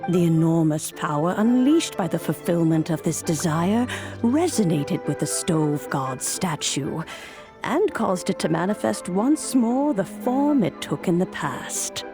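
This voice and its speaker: An actress playing a wise, serene, grandmotherly immortal in a game, with a measured, stately storytelling delivery. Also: lowish voice, sing-song. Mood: knowing, measured. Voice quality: creaky. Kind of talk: dramatic game voice acting. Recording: music behind the voice.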